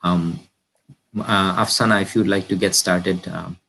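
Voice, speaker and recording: A man hosting a live online panel, asking a long question haltingly with many fillers and restarts over a video call. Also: deep voice, fairly even intonation, vocal fry, bright, forceful tone, a little hiss or hum. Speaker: host, interviewer. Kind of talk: panel moderation.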